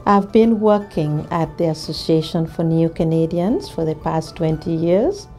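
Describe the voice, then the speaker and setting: A middle-aged woman from Uganda speaks to camera in a low, mellow voice. Her delivery is measured and thoughtful, broken by frequent pauses and restarts. Soft music sits quietly behind her. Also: animated. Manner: measured, thoughtful, with many hesitations. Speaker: ESL teacher. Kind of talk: interview to camera. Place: indoor studio, plain grey backdrop.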